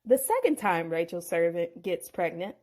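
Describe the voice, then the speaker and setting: A woman's voice: fairly low pitch, sing-song, moderate pace, darkish tone. A woman casually retelling a bible story with playful, chatty asides.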